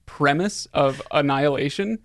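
A man's voice: on the high side, normal speed, no echo, studio quality. A man co-hosting a podcast in casual film discussion, rambling and often interrupting himself. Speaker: podcast co-host.

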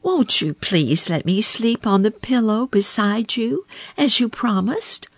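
A woman doing a high, pleading little frog. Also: expressive, slow pace, no room sound, exaggerated voice. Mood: insistent. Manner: high, plaintive calling. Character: small pleading male frog, persistent. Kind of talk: storybook reading.

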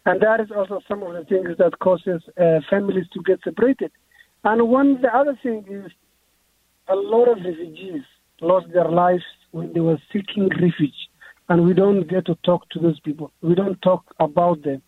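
A man's voice: high-pitched, normal speed, vocal fry, husky, dry recording. A young man, an author guest speaking earnestly in a radio interview, often restarting his phrases. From Somalia. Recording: tinny phone line.

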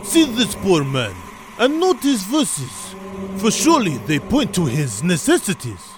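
An actor playing an imperious, wrathful Caliph in a storybook audio drama, speaking in grand, declamatory commands. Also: male voice, high voice, huge pitch swings, a little slow, a crowd in the background, exaggerated voice. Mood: grand, magnanimous. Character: imperious, wrathful male Caliph, ruler of the realm. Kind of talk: audio drama acting, archaic storybook dialogue.

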